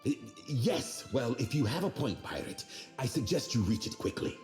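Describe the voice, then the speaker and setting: A man's voice: mid-range voice, very animated, moderate pace, a little mellow, soft background music, natural voice. An actor voicing an indignant, pompous male colonial director in a video game, speaking with haughty disdain. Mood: flustered, impatient. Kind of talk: video game character acting. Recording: roomy echo on the voice.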